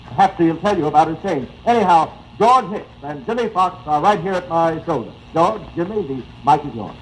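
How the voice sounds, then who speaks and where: A man's voice: fairly high pitch, animated, normal speed, a little hiss or hum. An American man reading a scripted announcement in 1930s radio style, on a slightly distorted broadcast.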